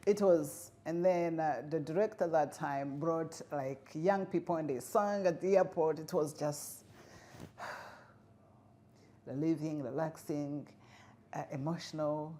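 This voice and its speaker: A middle-aged woman from Rwanda, whose first language is Kinyarwanda, speaking earnestly as an interview guest with frequent pauses and fillers. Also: low voice, mellow, slight background noise. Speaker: guest, refugee integration expert. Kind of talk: interview conversation.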